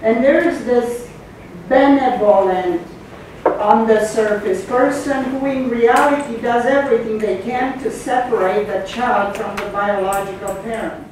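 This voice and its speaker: A woman's voice: on the high side, a little slow. A woman speaking up from the audience in a lecture hall, earnest and somewhat hesitant in her phrasing. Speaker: audience member asking a question. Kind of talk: audience question and comment. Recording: very echoey, distant, noisy room.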